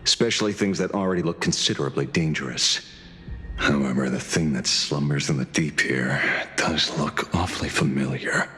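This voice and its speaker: An actor playing a wry, world-weary detective in a video game, with a dry, theatrical, nonchalant manner. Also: male voice, a bass voice, moderate pace, bright, forceful tone, big-room sound. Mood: wary, intrigued.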